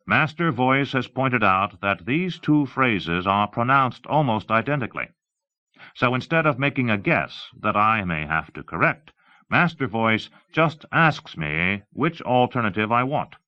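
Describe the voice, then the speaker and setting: A man's voice: mid-range voice, very animated, unhurried, voice pushed up. A man narrating an audiobook, voicing an eager, showy salesman with emphatic, carefully enunciated speech in slightly muffled audio. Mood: confident, showy. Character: eager, showy salesman demonstrating voice software to an audience. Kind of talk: audiobook character dialogue.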